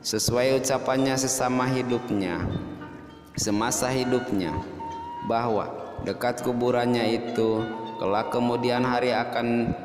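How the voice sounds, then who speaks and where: A man narrating a scripted folk tale as a storyteller, in a measured way with dramatic pauses and drawn-out key words. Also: mid-range voice, fairly even intonation. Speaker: storyteller and host. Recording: echoey long reverb, music behind. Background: music.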